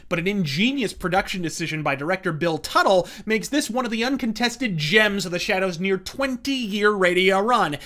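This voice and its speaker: A man's voice: sits high, bright, forceful tone. A man delivering scripted, profane commentary in a verbose, caustic and emphatic manner. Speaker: video essayist and reviewer.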